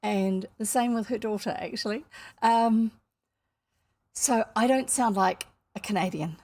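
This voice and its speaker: A woman's voice: a little high, measured pace, darkish tone. A New Zealand woman streamer chatting casually to her viewers, rambling along with lots of fillers. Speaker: storytelling streamer.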